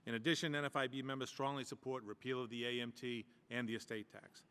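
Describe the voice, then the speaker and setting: A man's voice: mid-range voice, level tone, fast talker, fairly bright tone, smooth. Guy reading his testimony, earnest, dropping little asides, long echo off the hall. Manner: earnest and emphatic, with brief asides. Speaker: small-business tax preparer and teacher testifying as a witness. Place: large hearing room.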